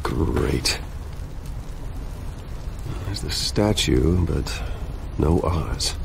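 A man's voice: rumbling low, lively intonation, drawn-out words, gravelly, noisy background, full character voice. An actor playing a serious, guarded American man in his thirties in a video game, terse and understated.

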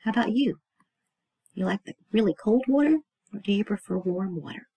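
Female teacher for a kids' read-aloud lesson. Lively pitch swings, husky edge, clear emphasis on key words. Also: fairly quick, dry recording. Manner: explanatory, emphatic on key words, asks the listener questions. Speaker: teacher reading a children's book aloud.

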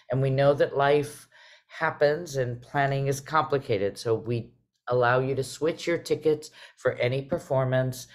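A woman's voice: extremely low pitch, a little mellow. A middle-aged woman, hosting a theater talk in a conversational way over a video call. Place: indoors on a video call, virtual theater backdrop.

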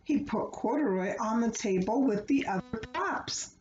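Woman, middle-aged, storybook read-aloud, highish animated voice, expressive phrasing, roomy home recording. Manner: expressive, deliberate phrasing for young listeners. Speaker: children's book reader. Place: small living room, indoors. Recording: roomy, dull home recording with background noise.

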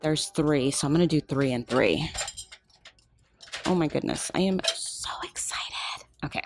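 A woman filming a shop-with-me vlog in a craft store aisle on a handheld phone, excited and gushing, often dropping to a whisper. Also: sits low, unhurried, background noise. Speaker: shopping vlogger.

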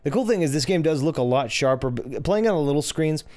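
A man with a low voice hosting a casual game playthrough, chatty and rambling, correcting himself as he goes. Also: lively intonation, fast, darkish tone. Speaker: gaming channel host. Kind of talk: casual let's-play commentary.

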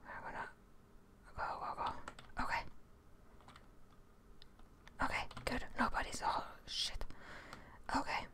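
Young female ASMR streamer, non-native English speaker, breathy close-mic whisper, gentle intimate game commentary. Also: slow pace, pauses often. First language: not English. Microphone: seen close microphone, wearing headset.

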